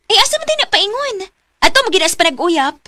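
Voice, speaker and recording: A woman's voice: very high-pitched, big pitch swings, brisk, sharp tone, no room sound, full character voice. A woman with a Cebuano accent playing a teasing, nosy matchmaking girlfriend in a radio romance drama. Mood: persuasive, teasing. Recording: noisy radio drama track.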